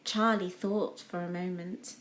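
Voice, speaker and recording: A woman's voice: on the low side, fairly even intonation, a little slow, fairly bright tone. A woman reading a children's story aloud as a scripted storytime narration. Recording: echoey room.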